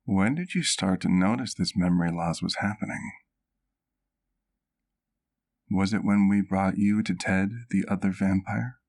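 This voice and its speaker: A man playing a courteous, caring vampire doctor in an intimate ASMR roleplay, speaking formally and with measured, old-fashioned phrasing. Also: deep voice, a little mellow. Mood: concerned. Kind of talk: ASMR roleplay, intimate one-sided dialogue to the listener.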